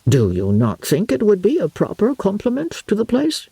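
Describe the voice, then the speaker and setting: A woman playing a genial, talkative older gentleman with an effusive, flowery, gallant manner in an audiobook. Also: mid-range voice, huge pitch swings, moderate pace, slightly rough, no room sound, pitched above the performer's own voice, exaggerated voice. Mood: affable.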